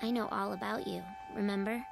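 A woman playing a calm, insistent, knowing little girl in a radio drama. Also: mid-range voice, fairly quick, vocal fry. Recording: music under the voice.

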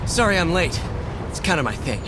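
A man's voice: fairly high pitch, sing-song, brisk, background noise. An actor playing a young, earnest, wisecracking male superhero in a video game, chatty and quippy. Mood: focused. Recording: loud music behind the voice. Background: loud game score and combat sounds.

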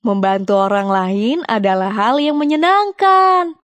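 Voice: medium pitch, a little slow, a bit bright, no echo, lightly acted. An actor voicing a cute, cheerful young panda who guides toddlers with encouraging, simple phrases in an educational cartoon. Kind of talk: children's educational cartoon presenting. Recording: music behind the voice.

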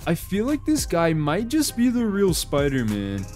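High-pitched, animated man narrating over music. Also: measured pace. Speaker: video compilation narrator. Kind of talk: scripted reaction narration.

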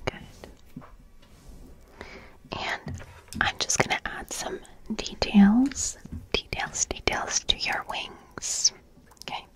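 Young female ASMR artist, breathy close-mic whisper, slow soothing delivery as a gentle art teacher. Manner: soft, soothing, gentle, repeating phrases. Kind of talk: ASMR roleplay, personal attention. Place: small bright plant-filled room indoors. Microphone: close studio microphone with fluffy cover.

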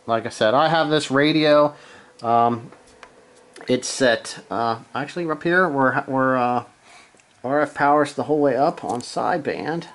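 A man with a mid-range voice talks slowly through a piece of hobby gear. He is casual and unscripted, halting as he thinks aloud. He speaks from behind the camera in a small room at home. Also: medium pitch. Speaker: amateur radio hobbyist, presenter. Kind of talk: casual hands-on gear demonstration.

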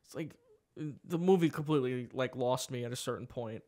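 A man's voice: mid-range voice, a little fast, warm tone. A young man hosting a podcast in casual chat, profane and rambling, laughing often. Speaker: podcast host.

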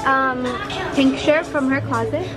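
A woman's voice: high-pitched, a little slow, music in the background. A young woman vlogging casually while shopping in a mall, giggly and playful, filmed on a handheld camera. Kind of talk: casual chat. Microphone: handheld camera at arm's length.

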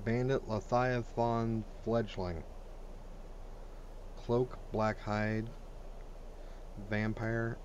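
A man's voice: fairly low pitch, little pitch movement, extremely slow, long pauses, a little mellow, muffled recording. Casual gamer guy over noisy game audio. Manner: informal, crude, thinking aloud. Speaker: gaming video host. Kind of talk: casual let's play commentary. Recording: game ambience and noise behind voice. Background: video game sounds.

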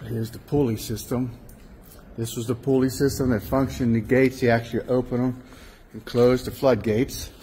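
A man's voice: medium pitch, flat delivery, moderate pace, warm tone, slight background noise. Rambling man narrating a walkabout. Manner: rambling, self-correcting, plain-spoken. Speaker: urbex vlogger. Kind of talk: casual walking narration. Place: outdoors and in a large abandoned industrial building.

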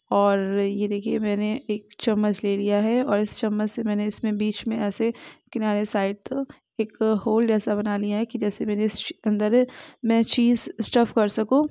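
A woman hosting a home cooking channel, talking through each step of a recipe in a plain, unhurried way with small fillers. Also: medium pitch, creaky, warm tone, dry recording. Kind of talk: home cooking tutorial voice-over.

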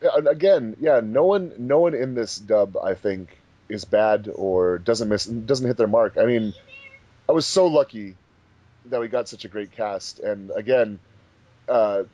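A man with a fairly low voice talks casually on a group commentary track. The recording is close and dry. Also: measured pace, warm tone, no echo, muffled recording. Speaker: fandub director and commentator.

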